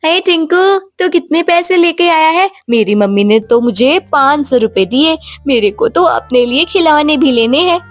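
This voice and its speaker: A woman voicing an excited, boastful young child in an animated story, bubbly and exclamatory. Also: sits high, moderate pace, few pauses, dry recording, soft background music, pitched above the performer's own voice, natural voice. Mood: curious, boastful. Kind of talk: animated moral story dialogue.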